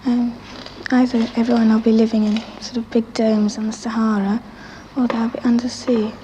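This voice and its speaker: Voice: medium pitch, level tone, moderate pace, mellow. A young girl answering casually in an interview. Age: child or teenager. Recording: faintly noisy 1960s archival interview.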